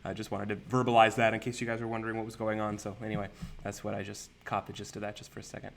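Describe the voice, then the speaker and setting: A man's voice: on the low side, monotone, racing, gravelly, a little hiss or hum. A young man hosting a live stream, casually reading out viewer comments and names. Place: indoor room with a virtual city backdrop.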